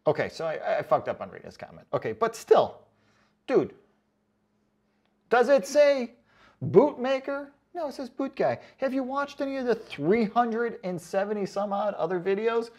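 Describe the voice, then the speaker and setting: A man's voice: high voice, sing-song, normal speed, a little mellow. A man in a casual comedic rant, reading out comments as an online host, sarcastic, mocking and freely swearing, with emphatic delivery. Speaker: online boot review video host.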